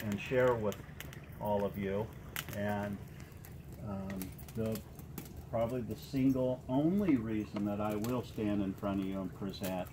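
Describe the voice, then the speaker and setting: A man in his late fifties giving an informal personal testimonial to an audience in a halting, plain-spoken way with frequent pauses. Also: fairly low pitch. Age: late 50s. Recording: noisy live room recording.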